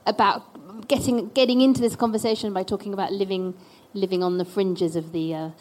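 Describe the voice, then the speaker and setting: A woman's voice: mid-range voice, steady tone, a little fast, darkish tone. Woman moderating a panel, hesitant. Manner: hesitant, with many restarts. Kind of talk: moderating a live discussion.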